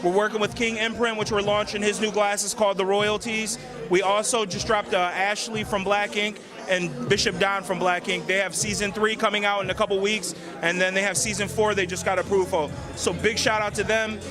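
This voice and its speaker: A man giving a quick promotional plug for his sunglasses brand. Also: sits high, little pitch movement. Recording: outdoor event with loud music.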